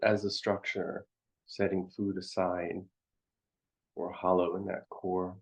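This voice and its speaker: A man leading a guided meditation as a teacher, contemplative, speaking in short halting phrases with long pauses, on a dull, muffled recording. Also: low-pitched, little pitch movement.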